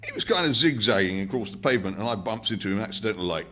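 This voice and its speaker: A man's voice: lowish voice, animated, very fast, fairly bright tone, a little hiss or hum, voice pushed up. A man voicing a garrulous elderly working-class Londoner, reminiscing in colloquial speech in a novel reading. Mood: indignant, animated. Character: rambling old working-class Londoner in a pub.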